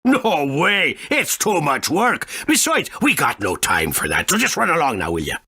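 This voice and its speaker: A woman voicing a grumpy, excitable little furry creature in a video game, with a raspy voice and blustering, indignant manner. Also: low-pitched, normal speed, dry recording, studio quality, heavily acted. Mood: grumpy, dismissive. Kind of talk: video game character acting.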